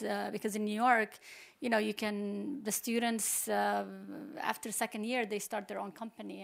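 Creaky-voiced woman panel guest. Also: mid-range voice. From Palestine. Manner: hesitant, many restarts and fillers. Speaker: panel guest, computer science professor. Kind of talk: unscripted panel talk.

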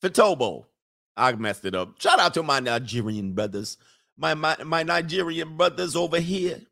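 A man's voice: a little high, lively intonation, moderate pace, creaky, fairly bright tone. A man hosting a livestream, rambling casually, reading chat comments aloud and laughing often. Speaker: livestream host.

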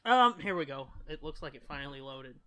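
A man's voice: a little high, lively intonation, brisk, bright, forceful tone, faint music behind. A man co-hosting a podcast in casual, informal chat, with plenty of profanity. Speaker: podcast co-host.

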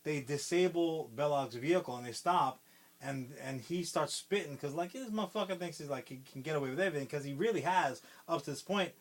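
A man's voice: on the high side, a little fast. A man co-hosting a podcast, casually retelling a movie plot in a rambling way, with constant swearing and lots of fillers. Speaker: podcast co-host.

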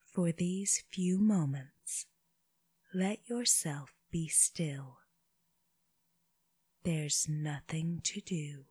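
Very slow, breathy woman guiding meditation. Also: sits low, no room sound. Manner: gentle, unhurried, short phrases with long pauses. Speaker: meditation guide for children. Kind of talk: slow guided body scan meditation.

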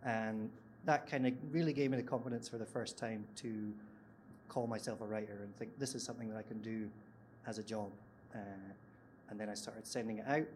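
A man's voice: lowish voice, steady tone, fairly quick, darkish tone, slight background noise. A Scottish man, a screenwriter on a live panel, telling his path into writing in a rambling, self-deprecating way. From Scotland. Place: event stage with audience.

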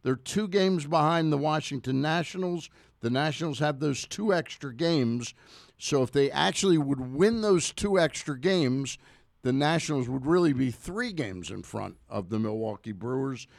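A man's voice: on the high side, expressive, normal speed, vocal fry, no room sound, clean studio sound. An American man hosting a sports show with casual, chatty talk, often checking facts with his partner.

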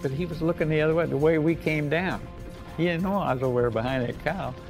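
A man's voice: on the high side, fast, a little mellow. An older American man, a war veteran, recounting his memories in a folksy, plain-spoken way. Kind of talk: unscripted oral-history reminiscence. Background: music bed.

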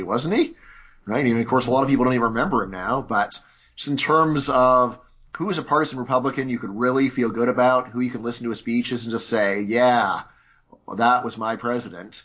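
A man's voice: medium pitch, a little fast, a bit bright, muffled recording. A man speaking as a guest in a conversational interview, arguing his views at length and leaning on "you know" and "like". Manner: argumentative, lots of "you know" fillers.

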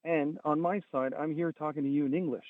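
A man's voice: fairly high pitch, fairly even intonation, fairly quick, dry recording. Francophone male caller, earnest, stumbling repeats, phone-line sound. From Ontario, Canada. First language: French. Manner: earnest, repeats words when pressing a point.

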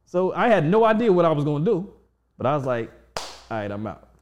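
A man's voice: on the high side, sing-song, brisk, slight background noise. A man in his forties talking casually and reflectively as a guest, with frequent restarts and fillers. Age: 40s. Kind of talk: casual conversation.

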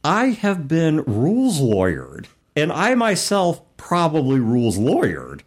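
Middle aged guy doing podcast commentary, lively swinging pitch, stresses each item in his lists. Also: measured pace, husky. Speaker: podcast host. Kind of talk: conversational commentary on tabletop gaming.

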